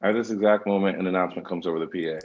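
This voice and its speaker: Male narrator for script directions: very low, husky, slightly muffled sound. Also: steady tone, rapid-fire. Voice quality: gravelly, husky. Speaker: stage directions reader.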